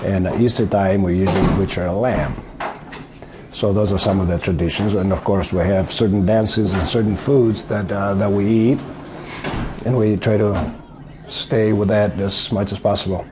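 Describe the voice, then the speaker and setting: A man in his sixties from Greece, answering interview questions in a casual, hesitant way with many pauses and fillers. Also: on the low side, steady tone, background noise. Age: 60s.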